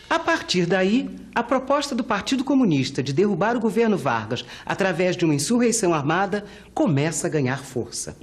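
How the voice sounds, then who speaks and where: A Brazilian woman giving formal, scripted historical narration for a documentary. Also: mid-range voice. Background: prominent background music.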